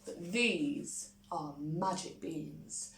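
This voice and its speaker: A woman voicing a strange, sly little man trading in a storybook, persuasive and deliberate. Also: sits low, a bit bright, full character voice. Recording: roomy echo, faint background noise.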